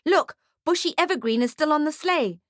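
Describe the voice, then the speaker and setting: A woman's voice: high voice, animated, normal speed, no room sound, studio quality, voice pushed up. A woman voicing a pushy male photographer with an emphatic salesman's manner in an audiobook story. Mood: alarmed.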